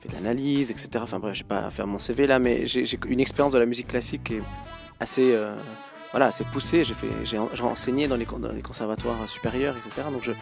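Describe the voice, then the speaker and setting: A man in his thirties with a gravelly, mid-pitched voice answers interview questions as a guest musician. He speaks thoughtfully and hesitantly, with frequent fillers and restarts. Faint music plays in the background. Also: steady tone, fast talker.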